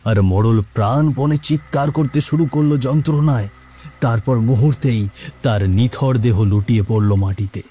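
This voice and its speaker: A man's voice: mid-range voice, moderate pace, mellow, breathy edge. A man narrating a cartoon moral tale in a dramatic, scripted storytelling style. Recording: faint music behind.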